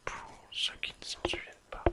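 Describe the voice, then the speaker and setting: A person whispering an ASMR recap in a breathy, unvoiced voice, speaking deliberately in short, separated phrases as the host. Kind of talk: whispered ASMR episode summary and commentary.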